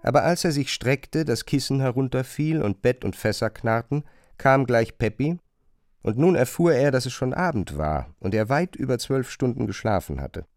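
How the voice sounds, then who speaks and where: A male narrator reads literary prose for a radio drama in a dry, close studio. His mid-pitched voice moves up and down in a lively way, while the long sentences stay carefully phrased. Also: moderate pace, no room sound. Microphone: close studio microphone.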